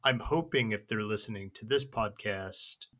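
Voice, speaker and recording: A man hosting a podcast, speaking earnestly and steering the conversation gently. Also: on the low side, moderate pace. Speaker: podcast host.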